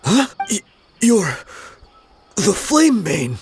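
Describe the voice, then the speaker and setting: A man's voice: high-pitched, huge pitch swings, drawn-out words, exaggerated voice. Defiant male mercenary: proud, guarded, very varied intonation, slight noisy ambience. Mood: startled. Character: defiant, wary male mercenary held for questioning. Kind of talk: video game character dialogue.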